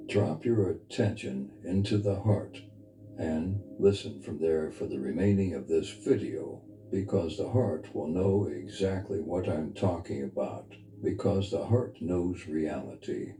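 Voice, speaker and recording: A man leading a guided meditation as a spiritual teacher, speaking in a soft, intimate, earnest and unhurried way. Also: deep voice. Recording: reverberant voice over background music. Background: music.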